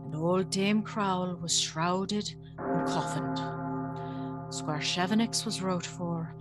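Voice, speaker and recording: A woman playing a haunted former servant, telling a gothic tale in a grave, deliberate, breathy voice. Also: on the low side, over loud music. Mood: grave, hushed. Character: haunted woman recounting terrors of her days in service. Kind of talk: gothic first-person dramatic storytelling.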